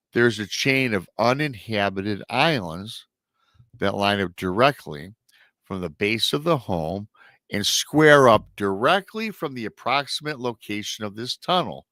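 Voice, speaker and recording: Nasal low-voiced man retelling a story. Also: lively intonation, a little slow, a bit bright, dry recording. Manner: chatty asides to viewers. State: nasal, slightly scratchy from lingering illness. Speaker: paranormal show host. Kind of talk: live-stream story retelling.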